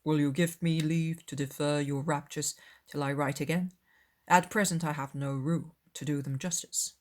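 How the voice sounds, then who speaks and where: A woman voicing a proud, aloof young gentleman in a period audiobook, formal and unconcerned in manner. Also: low voice, fairly even intonation, normal speed, natural voice. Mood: cool, curt.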